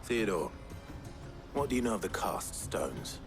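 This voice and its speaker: An actor playing a stern, terse warrior hero in a video game. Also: male voice, sits low, very animated, unhurried, gravelly, over music, full character voice. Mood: businesslike.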